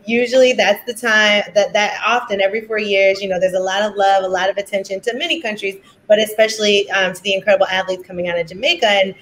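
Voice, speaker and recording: A woman moderating a discussion, speaking haltingly with many fillers and restarts. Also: medium pitch, fairly even intonation, fairly bright tone, slight background noise. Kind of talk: conversational discussion.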